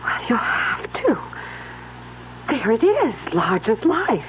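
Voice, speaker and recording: An actress playing a gentle, weary young wife, hesitant and emotional in an old-time radio mystery. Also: female voice, fairly high pitch, sing-song, slow. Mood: weary, soothing. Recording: dull, noisy old radio recording.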